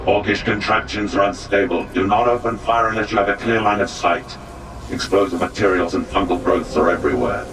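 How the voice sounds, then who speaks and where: An actor playing a wary space marine squad leader in a game, giving terse, authoritative orders. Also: male voice, fairly low pitch, steady tone, fairly quick, distant mic, music in the background, close to an everyday voice. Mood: wary, commanding.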